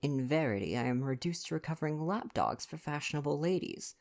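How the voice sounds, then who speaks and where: A man's voice: a little high, expressive, brisk, gravelly, darkish tone, pitched above the performer's own voice. Older Belgian detective played by a man, storybook character: vain, courteous, self-important, formal foreign turns of phrase. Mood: despondent. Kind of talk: storybook dialogue reading.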